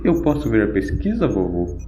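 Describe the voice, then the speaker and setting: A man voicing an eager, modest young granddaughter, polite and excitable, in an audio drama. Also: lowish voice, moderate pace, slight background noise. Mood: curious. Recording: roomy, dull home recording with music.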